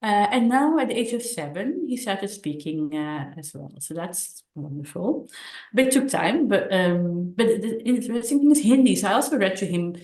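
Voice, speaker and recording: A middle-aged woman telling personal stories casually as an interview guest, haltingly, repeating words and restarting sentences. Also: medium pitch, lively intonation, darkish tone. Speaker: podcast guest, author. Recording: roomy echo on the voice.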